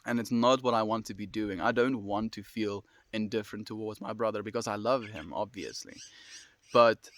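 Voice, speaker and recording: A man in his twenties with a South African accent, chatting casually and fast with viewers on a livestream. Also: fairly low pitch, fairly even intonation. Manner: fast-talking, friendly, reads and answers comments.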